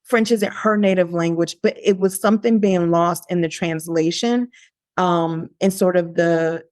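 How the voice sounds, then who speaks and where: A woman guest on a podcast, telling a personal story in a lively, conversational way, often pausing on "like". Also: on the low side, no echo, clean studio sound. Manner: animated, lively quoting of her younger self; frequent "like" fillers and restarts.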